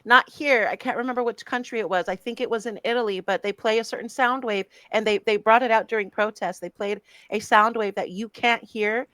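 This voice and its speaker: A woman's voice: a little high, fairly even intonation, brisk, hardly any pauses, fairly bright tone, no echo. A woman co-hosting a casual film podcast, talking animatedly with frequent laughs and many restarts. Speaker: podcast co-host. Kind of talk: casual podcast chat about films.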